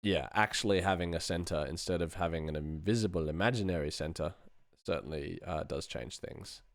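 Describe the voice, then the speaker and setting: A middle-aged man with a low voice full of vocal fry chats casually as he works at his desk. He mutters half to himself and half to his viewers in short, loose fragments. The sound is close and very dry. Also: a little fast, no room sound. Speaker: sound designer live-streaming his editing work. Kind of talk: casual thinking aloud to stream viewers while working. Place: small room indoors.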